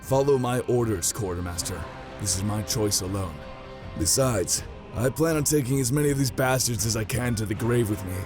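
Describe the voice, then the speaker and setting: Guy playing grim old-hand army commander, low voice, firm orders, audio drama with music under it. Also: normal speed, lightly acted. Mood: grim resolve. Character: grim, resolute male veteran soldier, self-sacrificing commander.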